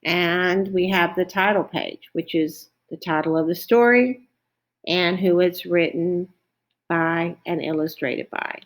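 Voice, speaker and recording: A woman's voice: fairly low pitch, slow, a little hiss or hum. A woman reading a children's story aloud, careful and explanatory, with teacherly asides.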